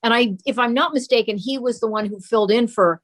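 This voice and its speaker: A woman's voice: on the high side, quick pace. Older woman reminiscing in an interview. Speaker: interview guest. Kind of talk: reminiscing in conversation.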